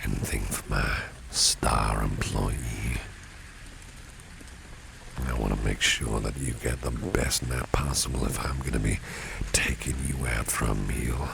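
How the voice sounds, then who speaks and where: Man acting as very deep, gravelly, flirtatious boss. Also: exaggerated voice. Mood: flirtatious, suave. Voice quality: gravelly, breathy near-whisper. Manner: slow, suave, soft-spoken. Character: protective, flirtatious male boss, smooth and courtly. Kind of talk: ASMR roleplay. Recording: steady rain noise behind the voice. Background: rain and street ambience.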